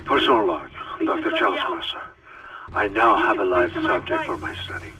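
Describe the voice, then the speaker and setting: An actor playing a cold, self-assured scientist dictating a clinical audio log in a video game. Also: male voice, fairly low pitch, wildly swooping pitch, moderate pace, distant mic. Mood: clinical, eager.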